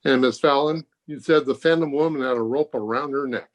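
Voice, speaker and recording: A man playing an authoritative, thoughtful hospital doctor in an old-time radio mystery, with measured, declamatory delivery. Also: medium pitch, natural voice. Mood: intrigued, ominous. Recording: home video-call recording.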